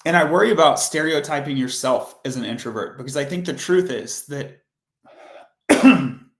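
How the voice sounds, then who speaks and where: A man hosting a coding livestream, chatting casually and rambling with self-corrections, his voice hoarse and fading. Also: fairly high pitch, moderate pace, reverberant.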